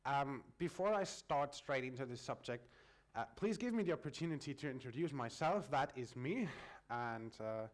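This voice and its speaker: German-born male conference speaker, friendly explainer, mid pitch, lectern mic. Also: lively intonation, normal speed. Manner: friendly, explanatory with filler sounds. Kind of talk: technical conference talk.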